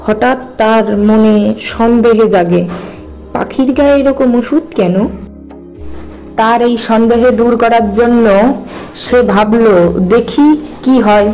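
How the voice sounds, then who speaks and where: A woman's voice: a little high, takes it slowly, long pauses, warm tone, poor audio. A woman reading scripted storybook narration for a cartoon tale, with music playing behind her.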